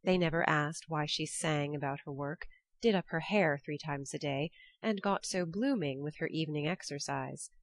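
A woman's voice: deep voice, normal speed, dry recording. A woman reading scripted storybook narration as an audiobook narrator.

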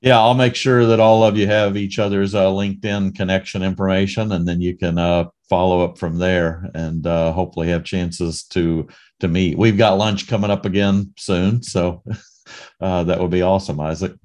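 A man's voice: low-pitched, little pitch movement, moderate pace, a little mellow. A man hosting an online leadership session, friendly and upbeat as he thanks the guest. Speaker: host. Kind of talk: hosting a video call.

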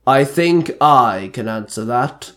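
A man voicing a grave, commanding ancient spirit god in a story reading, with slow, portentous delivery. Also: medium pitch, animated, fairly bright tone. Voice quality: bellowing. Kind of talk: amateur fan-fiction story reading.

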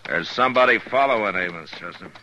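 A weary, resolute American marshal of the Old West. The voice is very low and sharply forceful, with clipped, authoritative replies. It sounds like a 1950s radio western with faint background noise. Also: male voice, fast, lo-fi sound. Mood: watchful, serious.

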